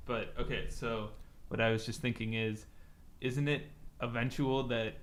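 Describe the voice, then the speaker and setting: A man's voice: mid-range voice, fairly even intonation, unhurried, a bit bright. A man co-hosting a podcast in casual, conversational discussion. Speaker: podcast co-host.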